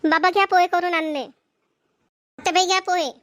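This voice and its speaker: A woman's voice: very high-pitched, steady tone, normal speed. A woman playing a cheerful, dutiful young married daughter in a cartoon, with a rural Maharashtrian Marathi accent and an eager, exclaiming manner. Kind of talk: Marathi cartoon family comedy voice-over.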